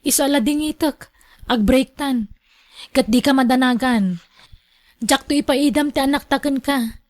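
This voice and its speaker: A headstrong young single mother voiced by a woman in a radio drama, high in pitch and closely, dryly recorded. She sounds carefree and defiant, brushing others off. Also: normal speed, a little mellow, no room sound, clean studio sound, close to an everyday voice. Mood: defiant, unbothered. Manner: defiant, dismissive. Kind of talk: Ilocano radio drama acting.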